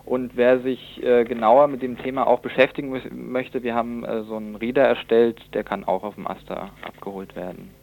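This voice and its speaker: A young man answers in an informal radio interview as a student activist. His speech is halting and hesitant, often searching for the next word. His voice sits at an average pitch. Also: flat delivery, creaky, darkish tone, rough recording. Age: young adult.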